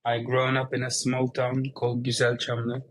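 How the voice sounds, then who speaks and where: Turkish-accented man speaking in a flat, even tone. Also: mid-range voice, moderate pace, slight background noise. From Turkey. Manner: explains plainly in non-native English. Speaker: guest, company CEO. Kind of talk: casual interview conversation.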